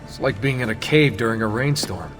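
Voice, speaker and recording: An easygoing young assassin played by a man in a video game, mid-pitched and wry. His voice rings in long reverb with music clearly behind it. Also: a little fast, lightly acted. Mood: restless. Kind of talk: video game cutscene acting.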